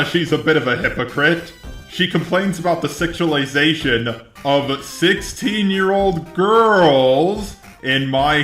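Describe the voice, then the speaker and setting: Male commentator, higher register, casual and sardonic, very roomy sound. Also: a bit bright. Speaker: YouTube commentator. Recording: roomy echo, music behind.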